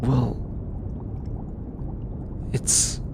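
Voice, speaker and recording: A man voicing an anxious, earnest alien scientist in an intimate audio roleplay, hesitant and halting, as if easily flustered. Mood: flustered, shy. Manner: hesitant, halting, stammering, sighing. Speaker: voice actor. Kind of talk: scripted audio roleplay, intimate one-sided dialogue. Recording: clearly noisy, low rumbling background.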